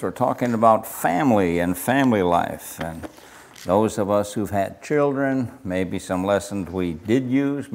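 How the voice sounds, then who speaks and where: An older man with a soft, folksy voice leading a casual Bible study class. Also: fairly low pitch, a little hiss or hum. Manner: soft-spoken, folksy, chuckles often.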